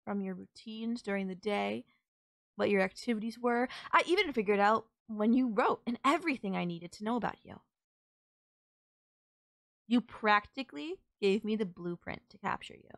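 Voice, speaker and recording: A woman's voice: medium pitch, moderate pace, creaky, fairly bright tone, dry recording, clean studio sound. A woman playing a sultry, possessive kidnapper who gloats over and coaxes a captive in an intimate audio roleplay. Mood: gloating, possessive. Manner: teasing, coaxing, controlling.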